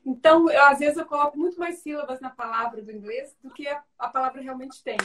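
A woman's voice: high-pitched, fairly even intonation, fast talker, fairly bright tone. A woman with a Brazilian Portuguese accent, chatting as an interview guest over a video call, full of tag questions and restarts. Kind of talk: casual conversation. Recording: voice distant from the mic.

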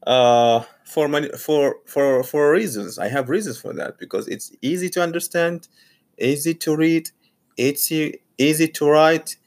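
A man from Saudi Arabia, a graduate student and teacher whose first language is Arabic, talking casually about learning English. He speaks haltingly and often repeats or restarts his phrases. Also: a little high, darkish tone. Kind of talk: casual personal monologue.

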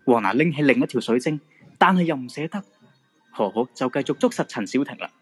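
A man's voice: medium pitch, expressive, brisk, slightly rough, soft background music. A man with a Hong Kong Cantonese accent narrating a scripted supernatural radio drama.

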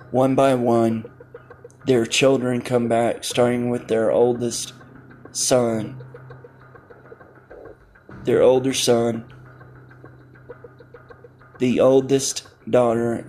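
Slow, halting male podcast host. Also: medium pitch, dark tone. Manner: halting, phrase-by-phrase delivery. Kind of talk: informal podcast introduction.

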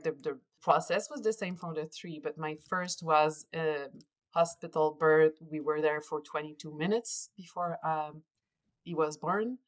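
Woman in her 40s, quebec french accent, low voice, earnest, lots of ums and restarts. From Quebec, Canada. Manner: earnest, pauses often with fillers and restarts. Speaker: podcast guest. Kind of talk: casual interview chat.

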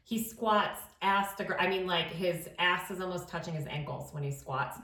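A woman's voice: on the low side, normal speed, recorded from a distance, crowd noise. A young woman co-hosting a podcast in casual chat, rambling and chatty with a slightly nasal voice. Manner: chatty, rambling, laughs often. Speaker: podcast co-host. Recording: echoey room, voice a bit off-mic.

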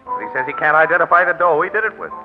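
An actor with an American accent playing a wry, confident crime photographer in a breezy, slangy 1940s radio drama style. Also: male voice, a little high, rapid-fire, vocal fry. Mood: breezy, assured. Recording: muffled old radio broadcast with music.

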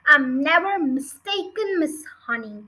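A woman's voice: high-pitched, animated, unhurried, full character voice. A woman voicing a bullying, scornful headmistress who barks and snorts with contempt in a storybook reading. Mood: impatient, dismissive. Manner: barking, snorting, contemptuous, loud. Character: bullying, scornful adult headmistress, giant and bellowing.

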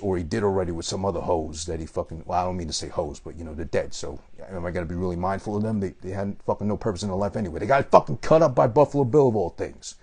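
Casting: husky, deep-ish male voice, unusual timbre, rambling profane movie-review rant. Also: darkish tone. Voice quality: unusual voice that might put people off.

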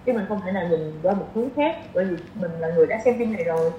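A woman's voice: on the low side, expressive, very fast. Woman guest chatting in an echoey, muffled room. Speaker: podcast guest. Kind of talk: casual chat, sharing opinions. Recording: roomy echo, dull lo-fi sound, faint noise.

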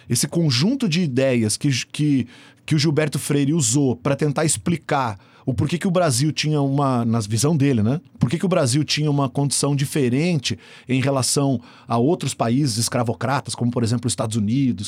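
A man's voice: mid-range voice, a little mellow, no echo. A man. He is a researcher giving an interview, explaining things in a thoughtful, hesitant way with frequent restarts. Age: 40s. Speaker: researcher guest.

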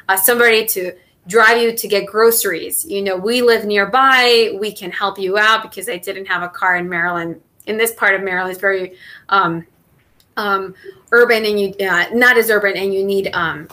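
A woman in her thirties from southern Mexico whose first language is Spanish, talking through an interview with a bright, clear tone. She thinks aloud, pausing on fillers and restarting her sentences. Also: medium pitch. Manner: thoughtful, many fillers and restarts. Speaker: guest, NASA engineer. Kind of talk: conversational interview answers.

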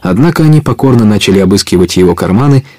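A man's voice: on the low side, expressive, very fast, warm tone, no echo. A man narrating a story for a Russian audio play in a scripted storytelling style.

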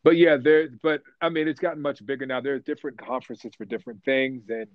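A man's voice: medium pitch, fast talker, no room sound. Chatty man, slightly muffled audio. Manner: rambling, animated storyteller with many restarts. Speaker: voice actor guest. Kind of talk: casual interview chat.

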